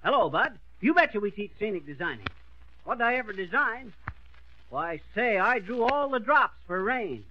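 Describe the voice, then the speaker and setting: A boastful, slangy American tall-tale man in a vintage radio comedy. His voice rides high and swings up and down freely. The old recording is dull and lo-fi. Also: very animated, moderate pace, background noise. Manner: slangy, bragging, bossy. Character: folksy, boastful middle-aged tall-tale schemer running an acting school. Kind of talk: 1930s-40s radio comedy acting.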